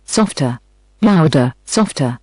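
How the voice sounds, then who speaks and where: Higher-pitched synthetic male reading voice. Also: expressive, slow pace, darkish tone, dry recording. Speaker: read-aloud voice of a device. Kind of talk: text-to-speech reading and button announcements.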